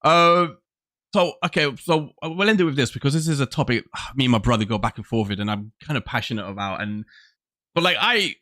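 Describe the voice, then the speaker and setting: A man's voice: medium pitch, animated, brisk, bright, forceful tone. A man in his forties hosting a casual gaming chat show, rambling with lots of restarts and reading from the live chat. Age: 40s. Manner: rambling, many restarts, laughs often. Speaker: podcast host. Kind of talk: casual video game chat.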